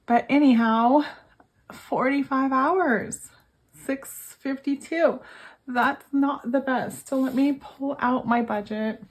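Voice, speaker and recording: A woman's voice: high-pitched, steady tone, slow, rough recording. A young woman with a cold and a failing voice, chatting casually to her viewers about her budget, worn out and talking to them as you guys. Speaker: budgeting vlogger. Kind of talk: casual chat to camera.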